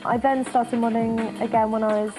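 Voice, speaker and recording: A woman's voice: fairly high pitch, little pitch movement, a little fast, music in the background, poor audio. A nineteen-year-old woman, a model, speaking offhandedly in a casual interview with hesitant fillers. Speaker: model, reality show housemate.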